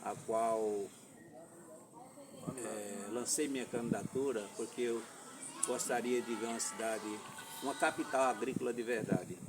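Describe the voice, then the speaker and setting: A man in his fifties from Alagoas, a former mayoral candidate, telling his story slowly and deliberately in a podcast interview, with halting and formal phrasing. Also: mid-range voice, steady tone, recorded from a distance, loud music behind the voice, poor audio. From Alagoas, Brazil. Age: 50s. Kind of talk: podcast interview, personal account. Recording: voice from off-mic with clear background noise.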